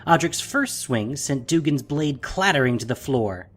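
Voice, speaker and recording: A man narrating a scripted audio drama in an expressive, theatrical manner. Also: mid-range voice, unhurried, faint music behind.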